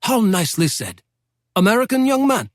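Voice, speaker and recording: A man's voice: sits high, sing-song, moderate pace, no echo, studio quality, pitched above the performer's own voice. A man voicing a genial older male Oxford professor with an English accent, talkative, playful and precise in his diction.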